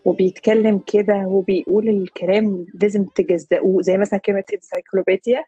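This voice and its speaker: A woman from Egypt with an Egyptian Arabic accent and a husky voice, recounting an anecdote as an interviewee. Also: mid-range voice, mellow, no echo. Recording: dull lo-fi recording.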